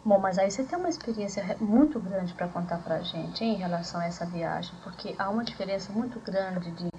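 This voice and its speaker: A woman from Minas Gerais interviewing two others in a relaxed group chat, teasing and playful. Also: mid-range voice, a little fast. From Minas Gerais, Brazil. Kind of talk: casual group conversation. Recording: dull lo-fi tape with crowd noise.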